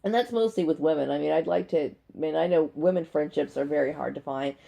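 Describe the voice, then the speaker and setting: A woman in her forties with a dry, gravelly voice, talking blunt and unscripted in a personal video, punctuating her points with "okay?". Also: deep voice, fast, dark tone. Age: 40s. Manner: blunt, emphatic, often checking with "okay?". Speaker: video blogger. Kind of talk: unscripted personal monologue to camera. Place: bedroom at home.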